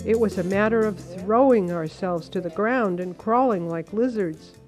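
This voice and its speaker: A woman reading a translated first-person account as a measured, earnest voice-over. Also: medium pitch, over music.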